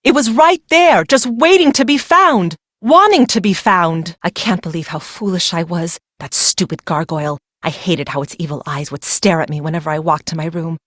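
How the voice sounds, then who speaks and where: A woman's voice: on the low side, moderate pace, few pauses, a bit bright, no echo, clean studio sound. A young woman playing a secretive, fearful young bride in a video game, speaking in a weary, brooding, dramatic way. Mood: agitated, fearful.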